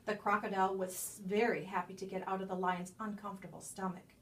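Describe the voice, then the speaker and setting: Recorded a little way from the microphone, a woman with a mid-pitched voice reads a children's picture book aloud. She tells it expressively, leaning on key words and pausing for drama. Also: flat delivery, fairly bright tone. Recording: distant microphone.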